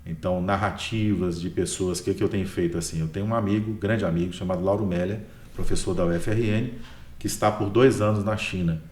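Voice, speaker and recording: Low-voiced Brazilian man in an echoey room. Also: fairly even intonation, fairly quick, a little mellow. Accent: Brazilian Portuguese. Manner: tags most sentences with a questioning "né?". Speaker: history professor, interview guest. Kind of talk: relaxed explanatory talk. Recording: roomy echo.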